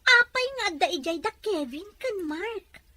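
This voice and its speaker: Very high-pitched, sulky daughter. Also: female voice, lively intonation, a little slow, pushed and bright, no echo, heavily acted. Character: sulky, whiny young daughter disappointed by a blind date. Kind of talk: Philippine radio serial acting.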